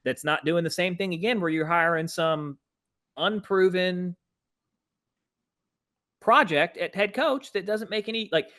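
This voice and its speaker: A man's voice: high voice, measured pace, long pauses, sharp tone. A man co-hosting a sports podcast, talking casually in a blunt, emphatic, exasperated way. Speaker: podcast co-host.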